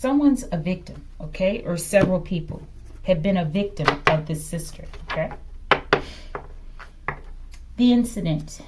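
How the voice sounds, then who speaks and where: Woman tarot reader with lively, swooping pitch. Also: measured pace, pauses often, noisy background. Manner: fragmented delivery, murmuring to self, often ending phrases with a questioning "okay?". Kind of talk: improvised tarot reading to camera.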